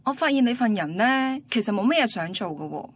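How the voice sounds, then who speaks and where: A woman's voice: on the high side, expressive, a little fast, husky. An actress playing a blunt, ambitious young student girlfriend in a radio drama, matter-of-fact and dismissive, with a Hong Kong Cantonese accent. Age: young adult.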